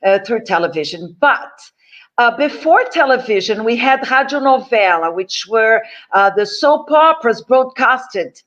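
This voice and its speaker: A woman from Brazil with a Brazilian Portuguese accent, asking an interview question in English, halting and searching for words. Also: a little high, lively intonation, fairly bright tone, dull audio. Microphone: video call.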